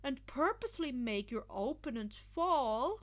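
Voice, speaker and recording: Woman putting on a loud, high, brash female announcer. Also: sing-song, unhurried. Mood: commanding. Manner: loud, enthusiastic, commanding. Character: brash, flamboyant adult woman announcer at a sports festival. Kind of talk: amateur fan fiction audio reading.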